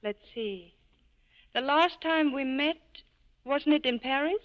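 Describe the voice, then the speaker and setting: An actress playing a wistful, gently insistent former lover in a 1940s radio drama. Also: female voice, high-pitched, slow. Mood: guarded, nostalgic. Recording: muffled vintage radio recording.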